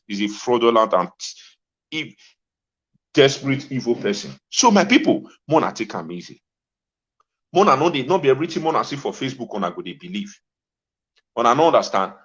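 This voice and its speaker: Animated Nigerian man ranting, dull lo-fi audio. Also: on the low side. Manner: exclamatory, repeats phrases insistently. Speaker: talk show host. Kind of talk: impassioned informal rant in Pidgin to viewers.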